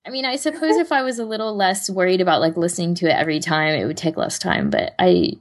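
A woman's voice: fairly low pitch, animated, quick pace. A woman co-hosting a podcast, chatting casually in a rambling, self-deprecating way. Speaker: podcast co-host.

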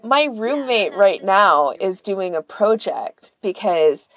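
Earnest woman chatting on a podcast, really animated pitch, dry sound. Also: takes it slowly, no room sound, highs cut off. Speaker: podcast host. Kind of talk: casual chat.

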